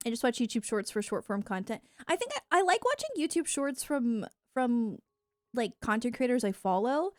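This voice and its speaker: A woman's voice: mid-range voice, dry recording. A woman with an American accent chats casually to livestream viewers in a rambling, informal, opinionated way, close on a streaming mic. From United States. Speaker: live streamer and content creator. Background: music.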